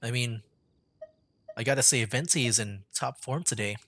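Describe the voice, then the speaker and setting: A man's voice: mid-range voice, normal speed, a bit bright, slight background noise. A man giving casual, amused commentary while streaming a video game. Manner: chatty, amused.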